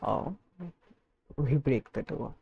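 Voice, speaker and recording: A man livestreaming a game, reacting casually in an offhand, halting way. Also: medium pitch, gravelly, highs cut off. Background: game music.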